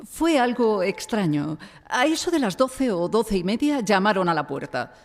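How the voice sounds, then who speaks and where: Lively veterinarian witness. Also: female voice, medium pitch, moderate pace. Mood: recounting. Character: chatty female veterinarian giving witness testimony. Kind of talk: audio drama acting before a live audience. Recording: echoey live hall.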